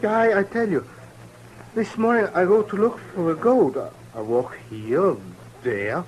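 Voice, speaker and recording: An actor playing an anxious immigrant farmer with a German accent, pleading in halting broken English in a 1950s radio drama. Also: male voice, high-pitched, lively intonation, darkish tone. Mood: bewildered. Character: anxious immigrant farmer, protesting his innocence. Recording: noisy old radio broadcast recording.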